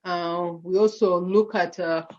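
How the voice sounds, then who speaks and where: A woman's voice: lowish voice, steady tone, a little slow, lo-fi sound. A woman with a Ugandan accent presenting slides on a video call, hesitant, with many fillers and restarts. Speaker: nonprofit founder presenting slides.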